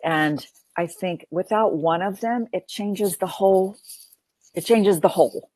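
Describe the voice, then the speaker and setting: A woman's voice: mid-range voice, measured pace, darkish tone, dry recording. A woman counselor speaking as a podcast guest, earnest and affirming, often repeating phrases as she builds a point. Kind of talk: podcast interview.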